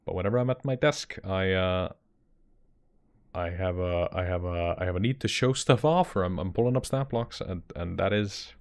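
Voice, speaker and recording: A man's voice: low-pitched, normal speed, smooth. A young man with an Icelandic accent, chatting casually with viewers on a livestream and answering their comments with rambling restarts. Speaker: streamer and dungeon master.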